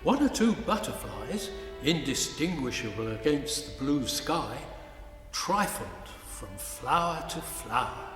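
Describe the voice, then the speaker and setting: A man giving a measured, declamatory scripted literary narration in a large reverberant space. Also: mid-range voice, big pitch swings. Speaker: narrator of a musical cantata. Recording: echoey hall, music behind.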